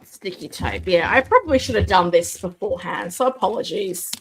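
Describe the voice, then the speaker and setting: A woman's voice: lively intonation, fairly quick, slight background noise. A woman hosting a live toy collecting stream, chatting casually, reading viewer comments aloud and unboxing a figure. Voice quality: self-described booming voice. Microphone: desk mic, moved away at times.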